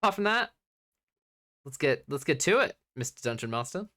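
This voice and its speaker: A man's voice: fairly high pitch, big pitch swings, fairly quick, pushed and bright, no echo, studio quality. A man playing in a tabletop game, talking in a crude, joking way. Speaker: player.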